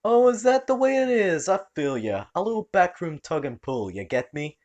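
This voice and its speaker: A man's voice: mid-range voice, sing-song, normal speed, lightly acted. A man voicing a crude wannabe gangster in his thirties with a put-on old-time mobster accent. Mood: smarmy.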